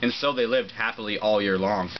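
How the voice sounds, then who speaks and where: A man's voice: fairly low pitch, brisk. A man reading a children's storybook aloud to friends, adding joking asides. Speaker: reader of a children's picture book. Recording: muffled amateur recording with loud background noise. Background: friends talking and laughing over noise.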